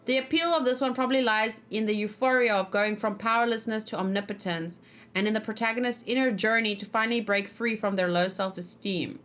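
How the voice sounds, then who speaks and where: A woman doing casual let's play commentary, chatty and expressive, thinking out loud and reading game text aloud. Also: a little high, brisk.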